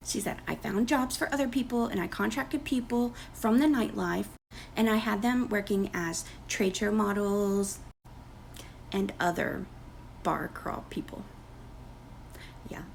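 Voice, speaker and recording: A woman talks through someone's posts in a casual, mocking commentary, with sarcastic asides. Her voice is strongly gravelly with constant fry, and she is losing it. A faint noise sits under the recording. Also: medium pitch, low-quality recording. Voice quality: creaky, gravelly. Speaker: commentary creator. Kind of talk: casual gossip commentary reading posts aloud.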